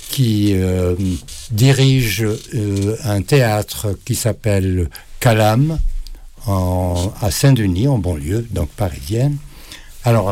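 A man's voice: fairly low pitch, a little mellow. A man co-hosting a radio talk show, introducing guests and asking questions at an easy pace with some fillers. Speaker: radio co-host. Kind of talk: casual radio interview. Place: radio studio.

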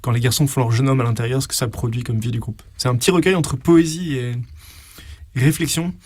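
Man, quick delivery, husky edge, dry close sound, articulate explanatory interview talk. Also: medium pitch, fairly even intonation, darkish tone, no room sound. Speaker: publisher interviewed as a guest.

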